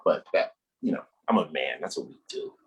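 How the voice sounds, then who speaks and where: A man's voice: a bass voice, animated, a little fast, lo-fi sound, a little hiss or hum. A man hosting a stand-up comedy show, bantering with the crowd, hyping up the acts and swearing freely. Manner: profane, hyping, addresses the crowd.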